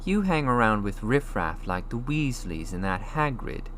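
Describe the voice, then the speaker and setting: A man's voice: medium pitch, very animated, unhurried, fairly bright tone, a little hiss or hum, pitched above the performer's own voice. A man voicing a snobbish, sneering young schoolboy wizard with a mocking drawl, reading a storybook. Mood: sneering menace.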